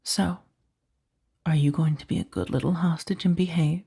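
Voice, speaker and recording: A woman playing a powerful, seductive mafia boss in a soft-spoken ASMR roleplay, speaking in a breathy, measured, commanding and teasingly menacing way. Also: low voice, exaggerated voice. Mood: calm menace. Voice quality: soft, breathy, often slipping toward whisper. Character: powerful female mafia boss, coolly controlling, seductive kidnapper.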